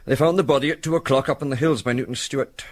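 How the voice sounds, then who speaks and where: An actor playing a gossipy village publican with a Scottish accent and homely, matter-of-fact dialect, in a radio drama. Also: male voice, mid-range voice, racing, close to an everyday voice.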